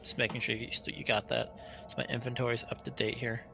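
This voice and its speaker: A man's voice: mid-range voice, little pitch movement, fast talker, creaky, lo-fi sound. A man chatting casually as a tabletop game player, tentatively thinking aloud about his next move.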